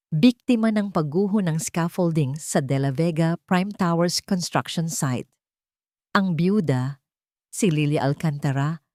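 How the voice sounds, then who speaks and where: Female storyteller, lower register, dry close sound, deliberate suspenseful delivery of scripted drama. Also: dark tone, dry recording. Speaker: storyteller, narrator.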